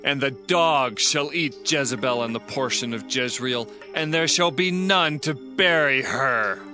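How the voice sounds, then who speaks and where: An actor playing an earnest young prophet, solemn and declamatory, in a dramatized scripture reading. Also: male voice, mid-range voice, unhurried, over music, natural voice. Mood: solemn, fervent. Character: earnest young male prophet proclaiming divine judgment.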